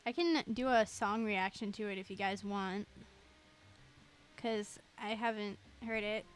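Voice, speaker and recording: A woman's voice: mid-range voice, unhurried. A young woman livestreaming a game, chatting casually in a gushing, chatty way.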